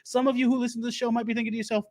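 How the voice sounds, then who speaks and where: Male comic doing a high-voiced, put-on Louisiana doomsday-prep host, rambly and self-important. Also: flat delivery, very fast, darkish tone. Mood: rambling. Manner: rambling, stumbling, laughs at own jokes. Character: bumbling self-proclaimed Southern doomsday-prep lecturer man. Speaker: comedy show host. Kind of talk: improvised comic monologue. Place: home studio.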